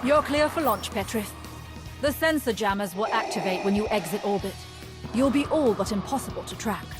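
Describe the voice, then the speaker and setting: An actress playing a cunning, taunting dark warrior villain in a video game, cool and mocking. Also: female voice, mid-range voice, lively intonation, normal speed, over music, lightly acted. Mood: brisk, cool. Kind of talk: video game cutscene acting.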